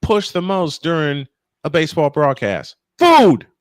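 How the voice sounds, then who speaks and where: A man working as host and play-by-play commentator, calling baseball and bantering casually between pitches. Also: sing-song, unhurried, clear, resonant tone, no room sound.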